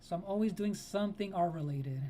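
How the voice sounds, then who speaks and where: A woman's voice: on the low side, a little fast, dark tone, faint music behind. A woman whose first language is Spanish, chatting casually with viewers on a live art stream while she draws, greeting them by name and laughing often.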